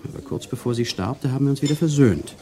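An actor playing a smooth-talking, self-pitying conman lover in a German radio crime drama, intimate and theatrical, often dropping to murmurs. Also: medium pitch, fast talker, dark tone, a little hiss or hum, close to an everyday voice. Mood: persuasive, confiding.

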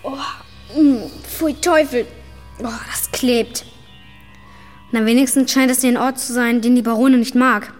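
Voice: mid-range voice, steady tone, a little slow, close to an everyday voice. An actor playing a plucky, eager young boy ghost hunter in a German children's audio drama. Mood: impressed, grossed out. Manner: eager, explains ghost lore in detail. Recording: reverberant hall, music bed.

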